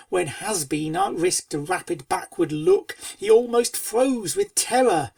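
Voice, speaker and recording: A woman reading scripted storybook narration in rhyming verse, with a theatrical, measured cadence. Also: on the low side, creaky, darkish tone, no echo, far from the mic. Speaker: fan fiction reader and narrator.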